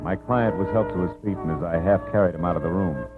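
An actor playing a wry, skeptical American private detective in a clipped, world-weary 1940s radio style. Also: male voice, low voice, fast talker, lightly acted. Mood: wry narrating. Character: hard-boiled male private detective, wry, skeptical, narrating his case. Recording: muffled old radio recording, music underneath.

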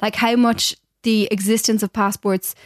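A woman's voice: mid-range voice, level tone, moderate pace, no room sound. Irish woman, mid-range voice, thoughtful, hesitant explainer, dry sound. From Ireland. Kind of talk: casual chat.

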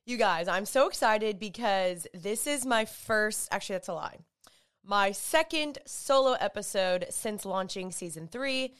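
A young woman hosts her podcast in a chatty, upbeat way, peppering her talk with "like". Her voice is smooth and clear even through a stuffy, congested nose, and it sits at a middle pitch. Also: measured pace. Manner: chatty, upbeat, with filler likes. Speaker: podcast host. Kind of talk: casual solo podcast talk.

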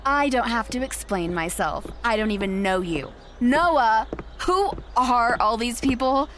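A woman's voice: on the high side, animated, unhurried, a little hiss or hum. An actress playing a vain, indignant young con-artist girlfriend in an audio drama, haughty and condescending. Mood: indignant, angry. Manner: haughty, sharp-tongued, condescending.

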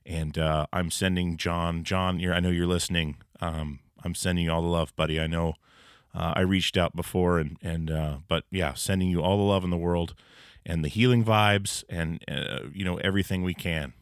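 A man hosting a podcast from his basement, talking in a relaxed, rambling way with lots of fillers. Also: deep voice, moderate pace, clean tone, no room sound, studio quality. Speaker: podcast host. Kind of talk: casual interview chat. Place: old house basement.

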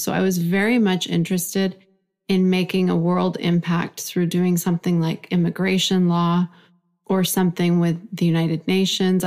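A woman's voice: lowish voice, creaky, darkish tone. A woman in her forties, from Iran, telling her life story reflectively in an interview. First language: Farsi. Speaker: guest. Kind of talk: conversational interview.

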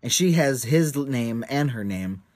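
A man's voice: medium pitch, expressive, normal speed, darkish tone, dry recording. A man co-hosting a casual podcast, teasing and sarcastic, with some swearing. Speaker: podcast co-host.

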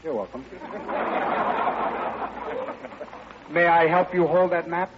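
A charming, flirtatious man-about-town, played by an actor in a vintage radio comedy. His voice is a little high, and he banters playfully and smoothly. The sound is dull, with faint noise. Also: brisk, lightly acted. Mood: amused, flirtatious. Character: suave, flirtatious Parisian playboy, playful and charming. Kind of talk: old-time radio comedy acting.

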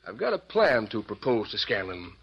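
A middle-aged farmer who keeps his angry neighbours in check, speaking steadily and placatingly. His voice is husky with a lively rise and fall, and it comes through a dull, lo-fi old radio recording. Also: lowish voice, moderate pace, full character voice. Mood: calming. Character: middle-aged farmer, calm leader of townsmen. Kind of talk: 1940s radio drama acting.

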